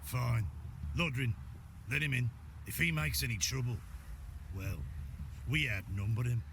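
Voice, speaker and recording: An actor playing a rough, grudging and menacing sentry in a video game. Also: male voice, mid-range voice, expressive, measured pace, fairly bright tone, a little hiss or hum. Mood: wary, threatening. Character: wary, grudging male sentry, rough and threatening. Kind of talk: video game cutscene acting.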